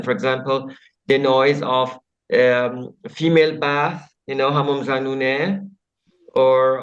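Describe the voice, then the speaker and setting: Non-native man asking a question over muffled lo-fi call audio, halting, groping for words. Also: mid-range voice. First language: not English. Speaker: audience member.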